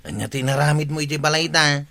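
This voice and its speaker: An actor playing a nosy, insistent village sidekick in Ilocano radio comedy, questioning and exclamatory with a Filipino accent. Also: male voice, on the high side, quick pace, vocal fry, sharp tone, no room sound. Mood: curious, insistent.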